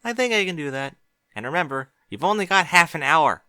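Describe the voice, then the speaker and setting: An eager, bookish young pony mare with a bright, lively lilt, as a man puts her on. She talks quickly and chattily, climbing high with excitement. The sound is dry and close. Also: clear, resonant tone, no echo. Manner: eager, chatty, higher-pitched. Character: bookish cheerful young female pony mage. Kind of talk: audiobook character voice.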